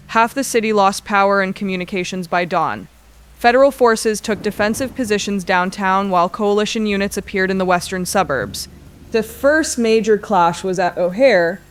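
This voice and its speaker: A woman playing a paramedic who phones a radio show in a war drama, giving a grave, measured eyewitness account. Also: mid-range voice, close to an everyday voice. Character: grim, weary female paramedic witnessing a city siege. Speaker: radio caller.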